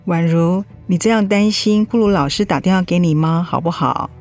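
A woman playing a caring high school homeroom teacher in a radio drama, patient and coaxing, with a Taiwanese Mandarin accent. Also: moderate pace, soft background music, close to an everyday voice. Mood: gentle concern. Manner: gentle, coaxing.

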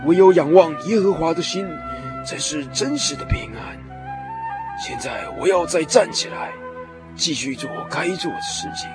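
A man's voice: sits high, wildly swooping pitch, moderate pace, music in the background. A man playing a weary, despairing but fervent ancient prophet in a radio drama, impassioned and full of sighs. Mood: resolved, reflective. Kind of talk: Mandarin radio drama acting.

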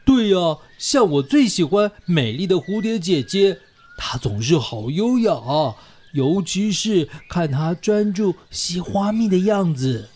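A man's voice: sits high, big pitch swings, a little slow, a little mellow, full character voice. A man voicing a chatty, easily amazed bee in a children's radio play, gushing and exclaiming. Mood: gushing, friendly.

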